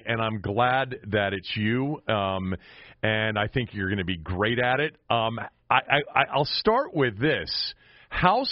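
An American man hosting a sports podcast in a conversational way, often repeating words as he goes. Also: medium pitch. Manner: halting, repeats words while forming questions. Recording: slightly dull, roomy sound.

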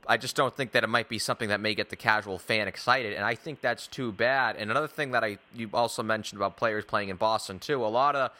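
Fast-talking man with a bright, low voice. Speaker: sports show host. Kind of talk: sports discussion, opinionated conversation.

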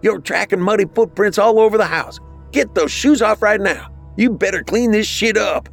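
Man as an angry, high-pitched scolding mother. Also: moderate pace, gravelly, faint music behind. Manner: screaming, bossy. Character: scolding, angry mother shouting at her son.

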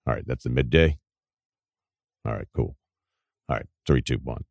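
A man with a deep voice hosting a podcast, telling rambling stories in casual chat and laughing at his own anecdotes. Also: moderate pace, creaky, no echo, studio quality. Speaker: podcast host.

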